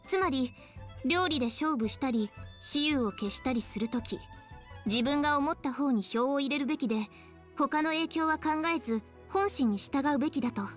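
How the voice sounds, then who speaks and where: A woman's voice: high voice, normal speed, lightly acted. A woman playing an earnest, dutiful young noblewoman in an anime game, speaking formally and stiffly, easily flustered. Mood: solemn, formal. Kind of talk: Japanese anime game voice acting. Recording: faint music behind game dialogue.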